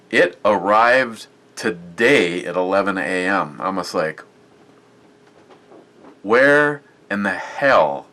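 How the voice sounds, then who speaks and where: A man's voice: on the low side, pushed and bright. A man casually reviewing a beer on his vlog, rambling and chatty with lots of fillers and restarts.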